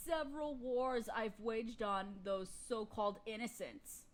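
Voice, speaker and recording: A woman's voice: fairly high pitch, a little slow, natural voice, rough recording. A woman playing a vain, domineering evil sorceress queen in a fantasy audio roleplay, speaking in an imperious, theatrical drawl. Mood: impatient, haughty.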